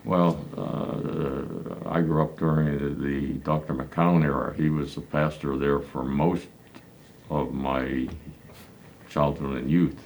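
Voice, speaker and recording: A man in his nineties from Pennsylvania, recalling his church childhood in slow, halting answers full of hesitant fillers. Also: extremely low pitch, level tone, darkish tone, slight background noise. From Pennsylvania, United States. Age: 90s. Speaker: elder congregant interviewee. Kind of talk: reminiscing interview answers.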